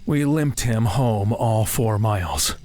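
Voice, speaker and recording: Male actor as guarded young American drifter type: low register, very slow delivery, wry and slangy, audio drama. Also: expressive, a little mellow. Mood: wry storytelling. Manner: slangy, laconic, sighing. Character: guarded, self-deprecating young working-class man, wry and bruised. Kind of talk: naturalistic audio drama dialogue. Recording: music playing behind the voice.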